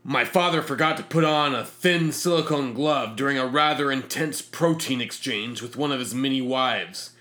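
A man's voice: mid-range voice, pushed and bright. A man narrating a comic sci-fi story in a pompous, self-important, theatrical manner. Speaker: narrator reading a fictional diary.

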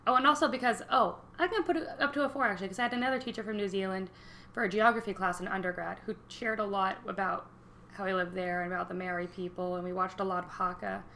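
A woman's voice: medium pitch, steady tone, fast talker, vocal fry, pushed and bright, a little hiss or hum. A young woman co-hosting a podcast in casual chat, joking and rambling freely. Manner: chatty, joking, laughs often. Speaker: podcast co-host.

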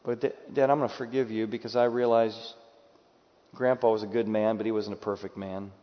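A man preaching a sermon with dramatic delivery, acting out quoted voices and moving from loud bursts to hushed, quiet lines. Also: fairly low pitch, little pitch movement, brisk. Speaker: pastor. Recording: dull sound with long hall reverb.

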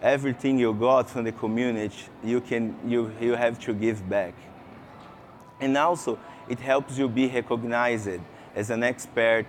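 A man's voice: medium pitch, a little hiss or hum. Brazilian man giving a conference talk. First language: Portuguese. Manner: halting, many fillers and restarts; enthusiastic, asks the audience. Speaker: conference speaker. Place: conference session room.

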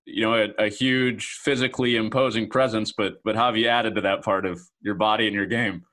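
A man's voice: fairly low pitch, fairly even intonation, fairly quick, fairly bright tone. A man interviewing a guest, asking chatty questions and repeating words as he goes.

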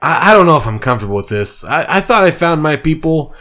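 A man's voice: medium pitch, big pitch swings, normal speed, a bit bright. A man voicing a timid, earnest deer-man who stammers and hesitates. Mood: nervous earnest.

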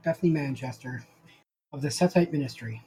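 Low, dark, husky woman chatting. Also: brisk, a little hiss or hum, rough recording. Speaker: player in a tabletop role-playing game. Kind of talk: casual chat with brief interjections.

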